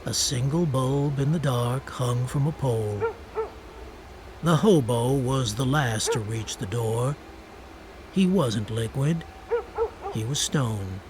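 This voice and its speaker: A man narrating a radio drama from a literary script in a terse, deliberate, matter-of-fact way. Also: medium pitch. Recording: faint ambient noise behind.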